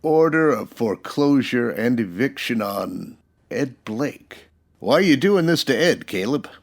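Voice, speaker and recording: A man playing a steady, decent United States marshal in an old-time radio western, plainspoken and folksy. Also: medium pitch, measured pace, creaky. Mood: grave, disapproving.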